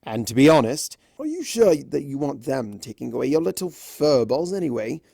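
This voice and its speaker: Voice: medium pitch, very animated, normal speed, gravelly, warm tone, husky, dry recording, studio quality, full character voice. A narrator voicing a mischievous, protective male spirit of chaos in a story, with a sly, theatrical drawl. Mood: protective, disdainful.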